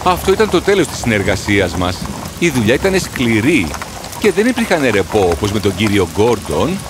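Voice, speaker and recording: A man's voice: fairly low pitch, very animated, noisy background. Earnest, sorrowful horse storyteller played by a man. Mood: sorrowful, reflective. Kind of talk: storybook cartoon narration. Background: music.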